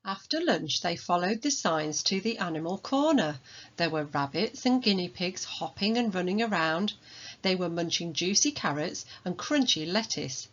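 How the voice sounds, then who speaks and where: A woman reading a children's storybook aloud as its author, in an expressive manner. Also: on the low side, lo-fi sound. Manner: expressive, acts out dialogue.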